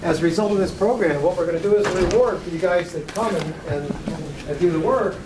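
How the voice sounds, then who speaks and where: A man's voice: high voice, fairly quick. A man hosting a workshop and introducing the next speaker in an informal, upbeat way. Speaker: event host introducing speakers. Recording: echoey room, far from the mic, loud noise.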